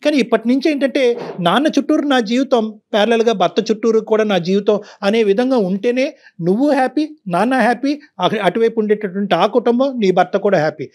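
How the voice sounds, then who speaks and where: A middle-aged man speaks in a high voice at a rapid pace. He counsels persuasively, circling back to his points for emphasis. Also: a little mellow. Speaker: counsellor on a talk show. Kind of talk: counselling talk.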